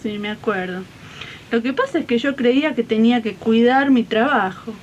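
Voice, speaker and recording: A practical Argentine domestic worker played by a woman in an eighties radio play, agreeable and colloquial. Her voice is fairly high, on a muffled archive recording with some faint rumble. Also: moderate pace, lightly acted. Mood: earnest. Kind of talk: 1980s community radio drama acting.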